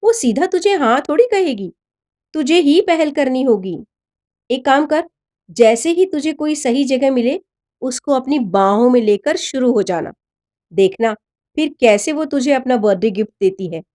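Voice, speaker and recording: A woman's voice: high voice, lively intonation, unhurried, dark tone. A woman voicing a cocky teenage boy who talks in a casual, knowing way, in a story reading. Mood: smug, egging on. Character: cocky teenage boy giving bad advice.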